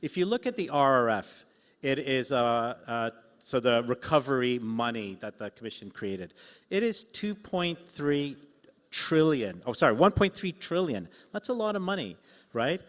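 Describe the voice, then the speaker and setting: A man's voice: medium pitch, sing-song, normal speed. A man speaking live on a conference panel, persuasive and emphatic, in short punchy phrases. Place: large indoor conference hall. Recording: echoey hall sound system.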